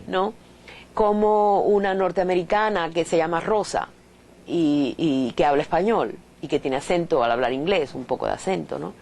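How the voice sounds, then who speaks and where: A woman's voice: lowish voice, darkish tone. A middle-aged Cuban woman professor answering interview questions thoughtfully, with restarts and rhetorical questions, in a Cuban accent. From Cuba.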